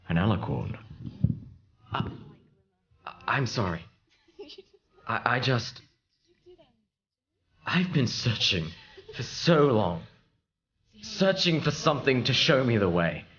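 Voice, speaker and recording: A man playing an earnest, weary wanderer in an audio drama, halting, reverent and pleading. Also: fairly low pitch, dull audio. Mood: awed. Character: earnest, weary wandering male seeker in awe.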